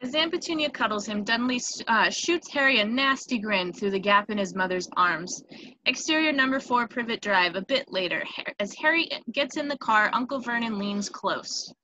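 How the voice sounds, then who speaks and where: Bright, high-voiced woman narrating a script. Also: lively intonation, normal speed. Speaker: stage-direction narrator. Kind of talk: scripted narration of a screenplay, with small stumbles. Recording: noisy home recording with clicks.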